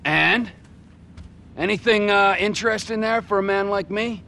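An actor playing a volatile, crude middle-aged male criminal in a video game, mocking and domineering, swinging from mock-gentle to barking. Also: high voice, a little slow, gravelly, a little hiss or hum, exaggerated voice. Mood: menacing, commanding. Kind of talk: video game cutscene acting.

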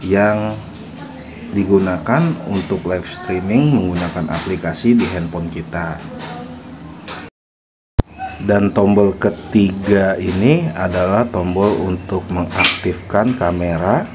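A man walks through a product demonstration step by step. His voice is low and his delivery is slow and instructional. There is faint noise behind him. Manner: explanatory, instructional. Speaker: product tutorial presenter. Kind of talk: step-by-step product tutorial narration.

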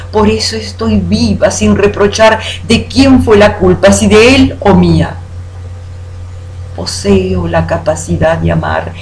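Woman, poetry declamation, slow expressive delivery, echoey room, faint hum. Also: medium pitch. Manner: expressive, deliberate delivery with pauses. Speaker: poetry reciter. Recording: roomy echo with background hum.

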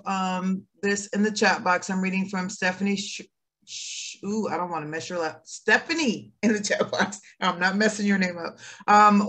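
A woman's voice: mid-range voice, sharp tone. A woman facilitating an online workshop over a video call, affirming speakers and reading comments from the chat. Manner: affirming, reads from chat, hums while scrolling.

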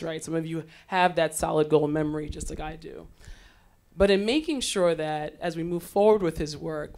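Panel moderator, woman, 40s, from Trinidad, lecture hall mic, talkative and lively storyteller. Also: deep voice, vocal fry. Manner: chatty, storytelling, animated laughter. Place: lecture hall on a small stage.

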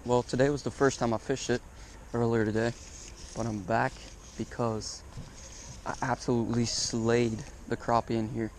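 A man with a gravelly, mid-pitched voice talks casually to his viewers as a fishing vlogger. He is excitable and slangy, full of exclamations. The sound comes from an action camera outdoors, with light background noise. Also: normal speed. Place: outdoors on a grassy reservoir bank. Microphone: body-worn action camera mic.

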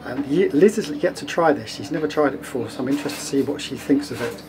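A man's voice: mid-range voice, quick pace. A middle-aged man chatting casually to camera as he vlogs on location. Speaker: vlogger. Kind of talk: casual vlog narration to camera. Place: on location: streets, car, offices. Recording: clear ambient noise, traffic and rooms.

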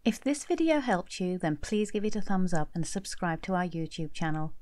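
A woman's voice: lowish voice, moderate pace, a little mellow. A woman presenter reading a scripted outro for English lesson videos.

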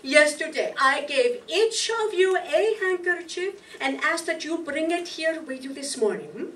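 A woman playing a shrewd, confident lady detective in a staged radio mystery, reading deliberately and dramatically. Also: high voice, expressive, a bit bright. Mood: triumphant, accusing. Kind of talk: 1940s radio mystery acting, read from script. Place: live staged reading in a meeting hall. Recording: distant mic in noisy room.

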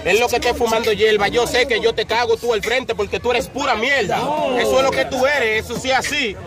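Man freestyle battling over loud music. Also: extremely high pitch, fairly even intonation, brisk. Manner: taunting, rhythmic insults. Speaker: freestyle rapper. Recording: loud music and crowd behind.

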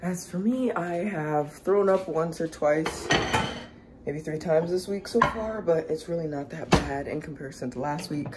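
A woman's voice: deep voice, unhurried. A young woman with a slightly nasal, congested voice, chatting casually to her phone camera for a family vlog. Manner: chatty, relaxed, talks to camera. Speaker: family vlogger. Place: car, restaurant and home. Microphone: handheld phone camera. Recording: phone video with background noise.

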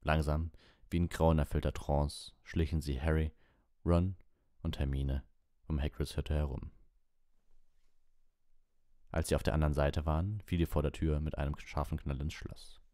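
A man's voice: very deep voice, level tone, moderate pace, long pauses, dark tone, no echo, studio quality. A man reading a story aloud as scripted audiobook narration. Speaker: audiobook reader.

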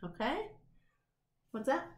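A woman's voice: high voice, very animated, slow pace, echoey room, a little hiss or hum. A young woman speaking as a teacher, guiding a children's read-along with simple, encouraging prompts. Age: young adult.

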